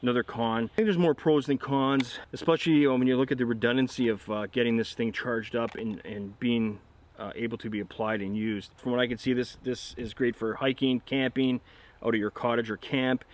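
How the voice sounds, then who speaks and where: A man's voice: medium pitch, steady tone, brisk. A middle-aged man reviewing a product in a casual, conversational, explanatory way.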